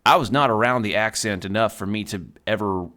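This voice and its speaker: A man's voice: on the low side, a little fast, bright, forceful tone. A man from Texas with a Midwestern American accent, chatting casually and swearing as he streams a game. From Texas, USA. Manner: rambling, sweary, talks while playing. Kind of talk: casual let's-play chat.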